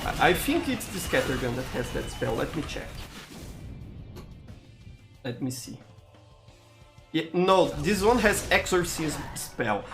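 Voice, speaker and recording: A man with a Brazilian accent, a native Portuguese speaker, chatting casually on a gaming live stream in a halting way, stammering and repeating words. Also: on the high side, expressive, vocal fry, music in the background. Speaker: live-streamer hosting gameplay.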